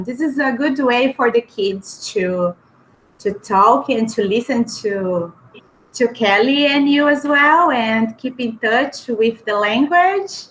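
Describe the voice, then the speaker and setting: A woman's voice: fairly high pitch, a bit bright, a little hiss or hum, rough recording. A woman on a casual video call who speaks in an encouraging way and praises the others.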